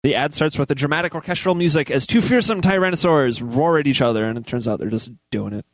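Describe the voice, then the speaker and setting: Slightly high-voiced male news reader, dry sound. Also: animated, fast talker, no echo. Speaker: news reader on a comedy show. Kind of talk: reading odd news articles aloud in casual show.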